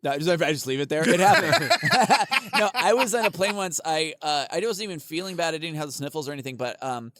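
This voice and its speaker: A man's voice: on the high side, animated, fairly quick, few pauses, very noisy. A man co-hosting a comedy podcast, telling rambling stories full of swearing and frequent laughter. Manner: rambling, profane, laughs often. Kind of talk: casual comedic chat.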